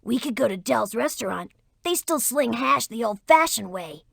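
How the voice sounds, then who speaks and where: A woman's voice: on the high side, lively intonation, moderate pace, vocal fry, fairly bright tone, no echo, clean studio sound, exaggerated voice. A woman playing a confident, take-charge young spy leader explaining missions in an educational cartoon game. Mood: instructive.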